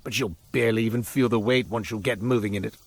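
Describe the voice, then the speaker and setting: A man's voice: on the low side, fairly even intonation, fast talker, no room sound. An actor playing a cursed, self-pitying merchant in a video game, with wry, florid, melodramatic salesman patter. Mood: showy sales patter. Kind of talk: scripted video game character monologue.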